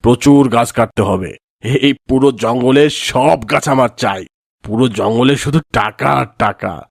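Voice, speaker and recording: A man's voice: mid-range voice, unhurried, gravelly, dry recording, clean studio sound. A man playing a greedy, ruthless timber merchant in a cartoon, commanding and callous. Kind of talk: cartoon voice acting.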